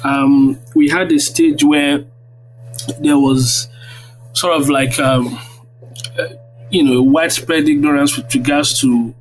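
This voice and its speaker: A man's voice: on the high side, steady tone, takes it slowly, pauses often. Nigerian man explaining over noisy audio. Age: 30s. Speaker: guest, university lecturer. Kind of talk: explanatory interview answers. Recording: very noisy online audio-room connection.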